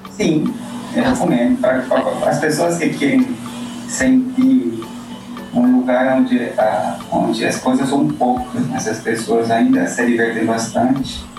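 A Colombian man talks over a casual podcast, choosing his words slowly. He sits some way from the microphone, and the room rings with heavy echo. Soft music plays underneath. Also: mid-range voice, far from the mic. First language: Spanish. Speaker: guest.

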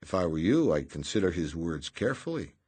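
A man's voice: low voice, very animated, a little fast, darkish tone, breathy edge, no echo, exaggerated voice. A man voicing a small, elegant scientist who speaks in a dry, sardonic and polite way.

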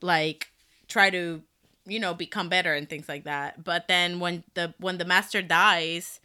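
A woman with a Dominican accent co-hosting a casual chat about K-pop, talking excitedly with lots of fillers. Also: on the low side, unhurried, clean tone. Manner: excited, rambling with many fillers. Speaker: podcast co-host.

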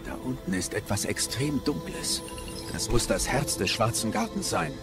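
A man's voice: mid-range voice, very animated, normal speed, creaky, loud music behind the voice. A man voicing a small, eager, analytical machine companion in a sci-fi audio drama, speaking expressively. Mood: focused, analytical.

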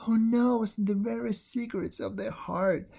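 A reader voicing a frightened young servant girl who pleads and confesses in a high, tearful voice. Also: steady tone, unhurried, vocal fry, mellow. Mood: sobbing, pleading.

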